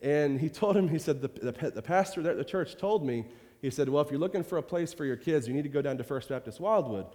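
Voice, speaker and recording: A man's voice: fairly high pitch, animated, fast talker. A man speaking as a pastor to his congregation, chatty and rambling with many fillers, fighting a cold and coughing now and then. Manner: warm rambling talk with many fillers and repeated "I tell you". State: has a cold, occasional cough. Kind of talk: informal church address. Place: church sanctuary. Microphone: handheld or stage microphone. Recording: reverberant church hall.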